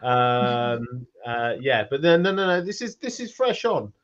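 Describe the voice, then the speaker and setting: A man's voice: mid-range voice, unhurried, a bit bright. Rambling man hosting, dull lo-fi audio. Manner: rambling, self-deprecating, repeats phrases. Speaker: host of an online community Q&A. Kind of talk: casual chat, reading out audience questions.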